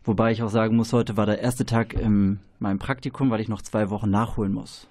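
A man's voice: fairly low pitch, level tone, brisk, darkish tone, no room sound. A man in his thirties, a trainee educator guest on radio, talking casually and tripping over words good-humouredly. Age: 30s. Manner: stumbling, good-humored.

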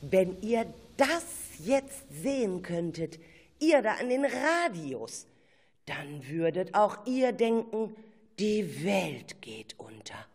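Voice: mid-range voice, big pitch swings, slow pace, darkish tone, breathy edge. A narrator telling a scripted radio play in a dramatic storytelling manner, speaking to the listeners. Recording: faint background noise.

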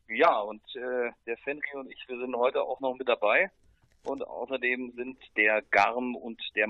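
A man's voice: medium pitch, no room sound. A man chatting casually as a musician guest in an interview. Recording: tinny, phone-like line.

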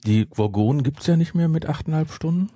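Flippant man, mellow and animated. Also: fairly high pitch, brisk, husky, dry recording, clean studio sound. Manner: flippant, jokey. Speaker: podcast co-host. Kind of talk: casual banter.

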